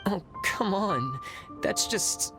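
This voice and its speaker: A man's voice: sits high, lively intonation, takes it slowly, exaggerated voice. An actor playing a meek, easygoing man in a video game, hesitant and stammering. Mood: flustered. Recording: game music behind.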